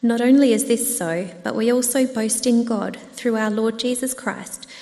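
A woman reading scripture aloud to a church, in measured, clearly phrased public reading. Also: on the high side, fairly even intonation, dark tone. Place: church hall. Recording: long hall reverb.

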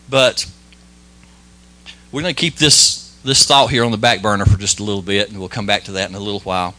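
Folksy preacher man, bright tone. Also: fairly low pitch, fairly even intonation. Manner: halting and folksy, many restarts and fillers; modest, plain-spoken. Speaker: lay preacher. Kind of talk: informal church sermon with prayer.

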